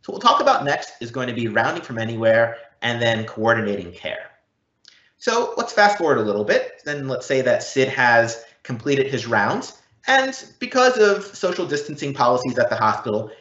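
Guy walking thru a software demo step by step, echoey room, kinda muffled audio. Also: medium pitch, very animated, normal speed. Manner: explanatory, walking through step by step. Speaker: presenter. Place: indoor room, live event. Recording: dull, roomy live event recording.

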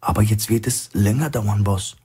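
A man's voice: fairly low pitch, normal speed, a little mellow, breathy edge, pitched above the performer's own voice. A man voicing a worried masked henchman who reports to his boss in a thriller audiobook.

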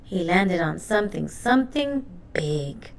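A woman reading a children's storybook aloud in an expressive, deliberate narrating style. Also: lowish voice, gravelly. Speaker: storybook reader.

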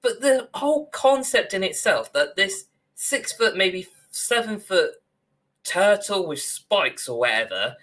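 Animated young man with a bright voice. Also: sits high, measured pace. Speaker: podcast co-host. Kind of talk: casual podcast discussion. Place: small room at home, on a video call.

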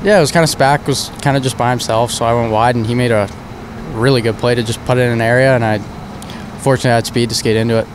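A man's voice: mid-range voice, steady tone, fast, background noise. A young man, a hockey player, giving short laid-back postgame answers full of "kinda" beside the rink. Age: young adult. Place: indoor hockey arena rinkside. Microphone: handheld mic held by interviewer.

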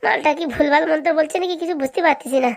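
A performer voicing an excitable, childlike villager in a comic cartoon, squeaky and with a rural Bengali accent. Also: very fast, vocal fry. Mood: chatty, puzzled. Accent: Bengali, rural dialect.

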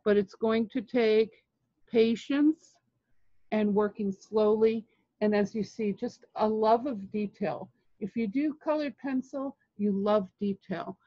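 A woman in her sixties talks through an art technique informally. She speaks slowly, in short halting phrases with long pauses. The sound is dry and slightly dull, like a lo-fi webcam recording. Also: mid-range voice, no room sound. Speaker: colored pencil artist demonstrating techniques. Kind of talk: informal art tutorial talk. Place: indoors, home studio room with framed art.

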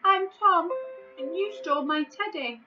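A woman's voice: very high voice, a little slow, dull audio, over music, pitched above the performer's own voice. A woman voicing a small, plucky, helpful storybook boy who speaks directly and boldly. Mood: indignant.

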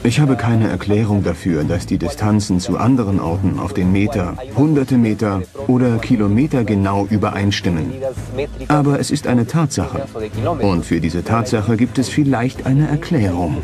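Lowish male researcher voice with music behind. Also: animated, moderate pace. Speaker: local researcher interviewed in a documentary. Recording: music under the voice. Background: background music.

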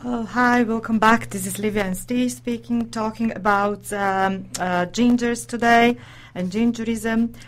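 A woman from Slovakia with a Slovak accent, co-hosting a casual radio talk and often pausing hesitantly. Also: fairly high pitch, steady tone. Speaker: radio show co-host.

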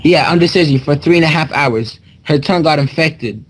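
A man's voice: a little high, fairly quick, slightly rough, rough recording. A young man making a prank call as a customer, talking in casual slang over an internet voice call. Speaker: prank caller posing as a customer. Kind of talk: casual slangy phone conversation.

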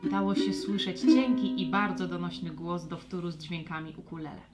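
A woman narrating a scripted children's audio story with expressive, storybook delivery. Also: lowish voice, normal speed, loud music behind the voice.

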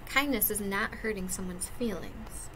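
A woman's voice: mid-range voice, unhurried. A young woman reading a picture book aloud as a preschool teacher, adding simple explanations for young children. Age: young adult. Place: indoors, classroom. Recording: clear background noise, webcam-style room recording.

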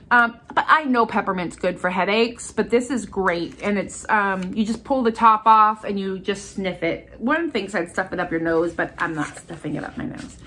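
A woman's voice: a little high, a bit bright. A middle-aged American woman with a stuffy, congested voice, chatting casually and enthusiastically as she presents shopping finds. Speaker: haul video host. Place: furnished room at home, indoors.

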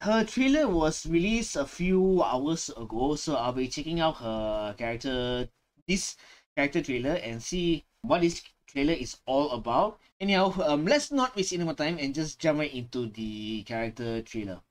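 A young adult man giving casual, halting reaction commentary, repeating himself and swearing mildly. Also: on the high side, animated. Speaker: reaction video host. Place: small bedroom, indoors.

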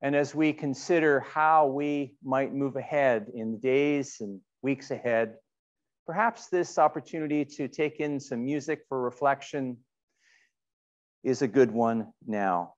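A middle-aged man, speaking as a pastor leading worship and prayer in measured, deliberate phrases with pauses. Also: mid-range voice, dull audio. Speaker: pastor leading an online church service. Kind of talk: sermon and spoken prayer. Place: indoors in a church, before a painted backdrop.

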